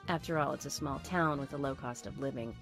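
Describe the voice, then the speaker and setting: Composed small-town policewoman for a video game, low register, plain-spoken, measured delivery. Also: gravelly, music in the background. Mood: matter-of-fact, measured.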